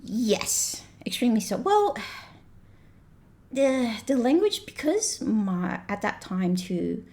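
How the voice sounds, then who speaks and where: A woman's voice: on the high side, very animated, slow, a little mellow. A woman in her thirties from Malaysia, chatting as a podcast guest in an accent that mixes Australian, British and American sounds, with lots of fillers and restarts. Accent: mixed Australian, British and American. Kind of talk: casual chat.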